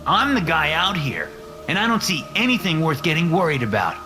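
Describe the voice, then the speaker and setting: A man doing higher tense young voices. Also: big pitch swings, brisk. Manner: raised pitch for dialogue. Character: young adult characters, men and a woman. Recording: music underneath.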